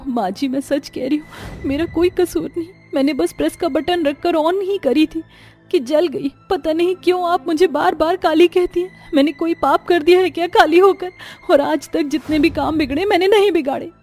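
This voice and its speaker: A meek young bride from an animated story, soft and pleading, as a woman voices her. Her voice sits high and light, with faint music playing behind. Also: moderate pace. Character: meek, gentle young daughter-in-law.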